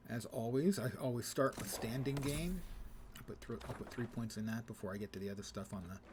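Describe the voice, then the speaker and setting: A man's voice: mid-range voice, a little fast. Middle-aged man casually streaming, noisy background. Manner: thinks aloud while playing. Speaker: live game streamer. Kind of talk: casual gameplay commentary.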